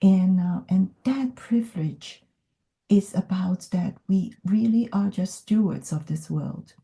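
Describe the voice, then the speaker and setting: A woman from Hong Kong, whose first language is not English, speaking in a thoughtful podcast conversation with many pauses and fillers. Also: medium pitch, gravelly, dark tone. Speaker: podcast guest. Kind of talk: reflective conversation.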